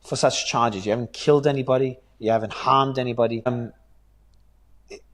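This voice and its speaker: A man's voice: medium pitch, fairly even intonation, moderate pace. A man hosting an interview, asking questions in an earnest, searching way with hesitant restarts. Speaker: interview host.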